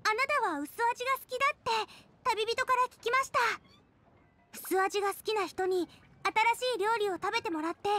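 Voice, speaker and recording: A woman's voice: very high-pitched, moderate pace, bright, forceful tone. A woman voicing a cheerful, eager teenage girl chef in an anime game, bubbly yet polite and earnest. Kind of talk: Japanese anime game voice acting.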